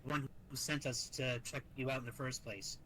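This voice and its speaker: A man's voice: medium pitch, fairly even intonation, normal speed, a bit bright. A man improvising in a casual online roleplay, talking and narrating his character's actions. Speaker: roleplay player. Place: online VRChat session. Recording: noisy online voice-chat microphone.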